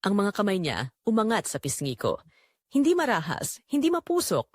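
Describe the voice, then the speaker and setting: Casting: actress as quiet, neglected Filipina wife narrating an audio romance, introspective and tender, medium pitch with expressive swings. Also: female voice, moderate pace, dry recording, clean studio sound. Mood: tender, intimate. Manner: introspective, confessional. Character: Melissa, quiet long-neglected wife and mother, wistful, tender. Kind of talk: first-person romantic drama narration.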